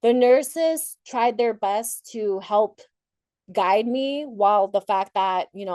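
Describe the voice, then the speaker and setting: A woman's voice: medium pitch, dark tone, dry recording. A woman from Thailand whose first language is Thai, giving an informal, candid motivational talk about herself in a reflective, conversational way, often rephrasing as she goes. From Thailand, later moved to the United States. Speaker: conference speaker sharing personal story.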